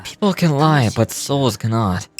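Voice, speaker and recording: A man's voice: medium pitch, animated, a little slow, soft background music, lightly acted. A man voicing a grave fantasy game character. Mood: solemn.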